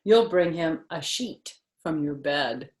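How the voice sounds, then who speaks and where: Older woman reading aloud, very expressive pitch. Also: echoey room. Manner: grandmotherly, chatty, asking listeners questions and pausing on phrases. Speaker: storyteller reading a picture book to children. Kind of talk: animated read-aloud with casual asides.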